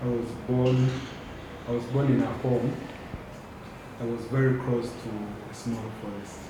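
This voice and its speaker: A man from Uganda, a podcast guest, talking in a relaxed, rambling way and tagging his remarks with "eh?". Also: mid-range voice, unhurried, heavy reverb, noisy background. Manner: relaxed, rambling, laughs easily, trailing tags like "eh?". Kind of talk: casual interview chat.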